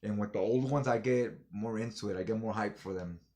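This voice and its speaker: A man's voice: on the low side, brisk, dark tone. A man chatting casually in slangy, informal fan talk as he reviews things for a vlog. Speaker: comic book haul reviewer.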